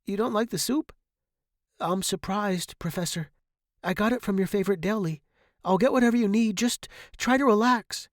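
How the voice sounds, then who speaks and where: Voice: takes it slowly, darkish tone, no room sound, studio quality. A storyteller voicing a devoted young male music student, earnest, emotional and imploring. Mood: tender, worried. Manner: earnest, imploring, high and distraught.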